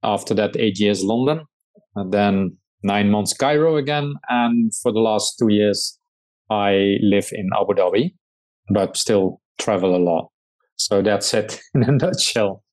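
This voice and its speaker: Dutch-accented man, low measured voice. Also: a little mellow. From Netherlands. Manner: measured, with frequent hesitations and restarts. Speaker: startup founder and CEO. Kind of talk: conversational interview answers.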